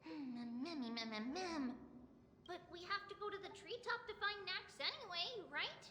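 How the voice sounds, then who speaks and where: A woman voicing a tiny, excitable, bubbly mascot creature in a video game, chirping nonsense syllables between words. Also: very high-pitched, normal speed, slight background noise, full character voice. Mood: chirpy. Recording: echoey reverberant sound with music.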